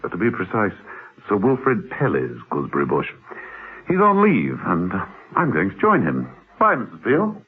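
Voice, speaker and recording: An actor playing an urbane, unhurried gentleman spy, precise and polite, in a classic radio drama. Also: deep voice, huge pitch swings. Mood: dry, breezy. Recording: muffled, lo-fi vintage phone-like radio recording.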